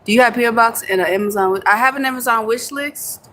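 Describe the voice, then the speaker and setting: A woman's voice: a little high, fast, sharp tone, slight background noise. A young American woman chatting casually on a livestream, reading and answering viewer comments, laughing easily and swearing now and then. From United States. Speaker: livestream host. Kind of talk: casual live chat with viewers.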